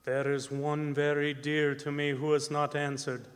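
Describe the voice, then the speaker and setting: An actor playing an ancient, evil vampire count in a radio horror drama, commanding and seductive, with drawn-out incantatory delivery. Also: male voice, a little high, completely flat delivery, very noisy, close to an everyday voice. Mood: urgent summoning. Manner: slow, incantatory, drawn-out words.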